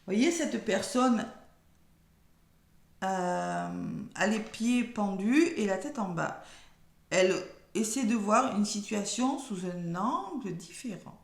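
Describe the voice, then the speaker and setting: French woman reader, hoarse and softly spoken, gravelly fry, echoey room. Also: mid-range voice, lively intonation. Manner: soft-spoken, slow and measured when reading cards aloud. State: losing her voice, hoarse. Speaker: tarot card reader. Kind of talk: weekly horoscope card reading.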